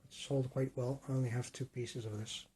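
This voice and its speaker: A man with a rough voice, casually rambling and correcting himself while he shows his model city. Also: mid-range voice, fairly even intonation, normal speed, dark tone, slight background noise. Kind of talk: casual talk-through.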